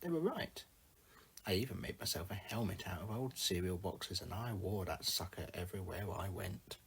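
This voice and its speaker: A man with a husky voice reading his own first-person short story aloud in a single take. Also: sits low, expressive, normal speed, a bit bright.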